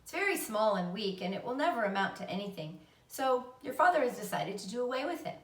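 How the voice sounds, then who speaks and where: A woman voicing a matter-of-fact farm mother in a storybook, giving orders briskly. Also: a little high, very animated. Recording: roomy echo, distant mic.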